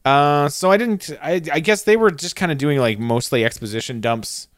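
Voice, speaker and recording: Male co-host, relaxed and wry, dry close sound, chatty episode review. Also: fairly high pitch, normal speed, no room sound. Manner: laid-back, wry, joking. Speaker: podcast co-host. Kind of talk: casual chat reviewing a TV episode.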